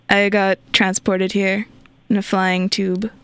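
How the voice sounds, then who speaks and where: A woman's voice: medium pitch, extremely slow, fairly bright tone, clear, resonant tone, no echo. A woman hosting a casual radio interview, giggling and laughing often as she talks. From not from the country of the show. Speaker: radio show host.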